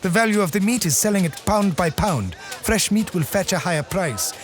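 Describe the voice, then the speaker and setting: An actor playing a wry, sharp-tongued guide in an audio drama with music under him. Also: male voice, high-pitched, lively intonation, moderate pace. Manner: wry, cutting.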